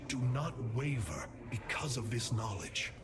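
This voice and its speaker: An actor playing a resolute, righteous alien warrior leader in a video game, speaking in solemn, stately oratory. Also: male voice, mid-range voice, big pitch swings, a little slow. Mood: firm, earnest. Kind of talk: dramatic video game cutscene acting. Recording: loud music under the voice.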